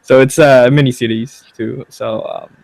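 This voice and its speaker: A young man as a guest in a casual chat, talking haltingly, repeating words as he searches, swearing casually. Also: medium pitch, fairly even intonation, creaky, a little mellow.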